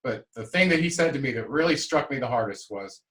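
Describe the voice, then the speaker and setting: A man hosts a casual podcast interview at an ordinary pitch. He talks in a halting way, with lots of fillers and restarts as he works his way toward each question. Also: animated, a bit bright. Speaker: podcast host.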